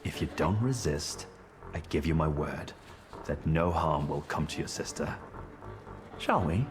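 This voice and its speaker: An actor playing a menacing, smooth-talking police chief in a dramatic video game, speaking in a formal, taunting, measured way. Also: male voice, extremely low pitch, animated. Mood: stern, composed. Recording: loud music under the voice.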